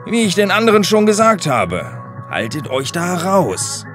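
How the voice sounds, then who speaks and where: An actor playing a concerned police inspector who cautions young sleuths emphatically in an audio drama. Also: male voice, sits high, huge pitch swings, a little slow, a bit bright, faint music behind, full character voice. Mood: friendly, cautioning.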